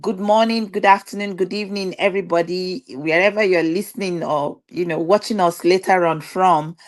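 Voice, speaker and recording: A woman's voice: fairly low pitch, steady tone, normal speed. A middle-aged woman from Nigeria with a Nigerian accent gives a personal talk to an online audience, earnestly repeating phrases for emphasis. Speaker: guest speaker, digital business owner.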